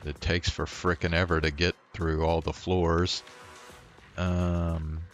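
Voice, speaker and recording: A man chatting casually and in a low-key way as he streams a video game. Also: little pitch movement, takes it slowly, faint music behind. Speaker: livestream gamer. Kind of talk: casual gaming stream chat.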